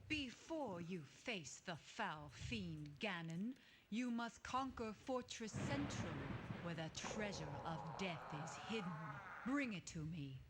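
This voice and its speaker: A solemn quest giver hands out orders gravely in an old game cutscene. She speaks slowly, with wide swings of pitch. A loud hiss runs under the audio. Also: animated. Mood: commanding. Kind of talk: 1990s video game cutscene.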